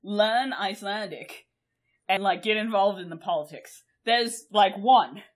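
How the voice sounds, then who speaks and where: A woman's voice: medium pitch, unhurried. A young woman co-hosting a podcast, chatting casually in a rambling way, laughing often and swearing freely. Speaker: podcast co-host.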